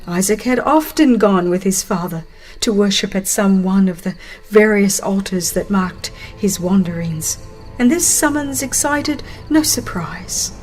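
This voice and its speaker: A woman reading a dramatized religious story as an audiobook narrator, solemn and expressive, with measured phrasing and dramatic pauses. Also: mid-range voice. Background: music behind the voice.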